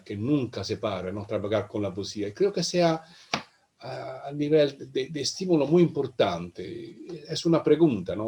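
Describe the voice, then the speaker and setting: A man whose first language is Italian, a translator, reading poetry and asking a halting question in Spanish mixed with Italian. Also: medium pitch, darkish tone. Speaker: translator and moderator.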